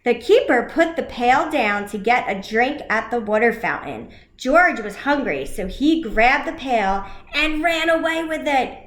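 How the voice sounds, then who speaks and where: Woman, high-pitched, slow storybook read-aloud, educator speaking to young children, echoey room. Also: expressive, a bit bright. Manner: deliberate, simple phrasing with questions to young listeners.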